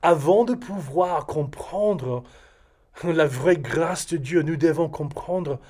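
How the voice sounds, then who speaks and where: A middle-aged Texan man, a native English speaker, preaching slowly from a script in careful, halting French. His voice sits a bit high and he pauses often to find his words. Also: a little mellow. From Texas. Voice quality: halting non-native French with frequent hesitations. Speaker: pastor. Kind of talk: recorded video sermon read from a script.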